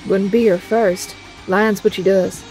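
A woman's voice: mid-range voice, steady tone, measured pace, darkish tone, soft background music, close to an everyday voice, rough recording. A woman playing a frank, affectionate mutant heroine in an audio drama, informal and familiar. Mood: warm, teasing.

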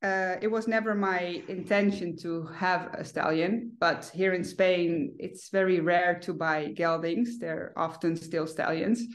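A woman's voice: fairly low pitch, steady tone, measured pace, hardly any pauses. Chatty woman on a video call. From Netherlands. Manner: friendly, chatty, with fillers and restarts. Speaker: guest, horse owner. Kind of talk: casual interview chat. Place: home room.

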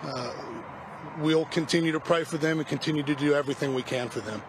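Halting fire spokesman outdoors. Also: fairly high pitch. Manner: halting, repeats words when unsure. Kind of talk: press briefing. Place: outdoors by a parking lot. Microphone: news camera mic, close. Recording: outdoor street noise.

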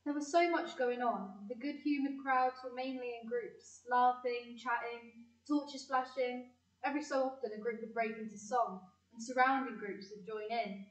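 A woman playing a fond, lively narrator recalling childhood in a community audio play. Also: a little high, moderate pace, lightly acted. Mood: fond storytelling. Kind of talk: scripted storytelling in audio play. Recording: echoey room, slightly distant mic.

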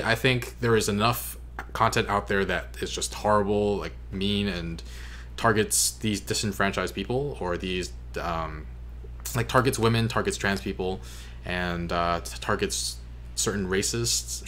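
A young man giving casual reaction commentary as host, speaking earnestly and haltingly. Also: fairly low pitch, fairly bright tone, slight background noise. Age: young adult. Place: small bedroom, indoors. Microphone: desk microphone.

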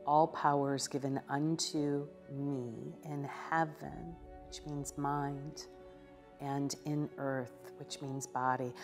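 A woman minister giving a contemplative devotional teaching in a living room, with measured delivery and occasional hesitations. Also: deep voice. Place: living room with a sofa, indoors.

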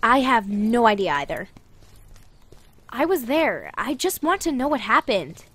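Woman acting high-voiced strained mother. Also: very animated, unhurried, fairly bright tone, slightly rough, exaggerated voice. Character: strained, scolding mother with teenage children. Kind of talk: audio drama acting.

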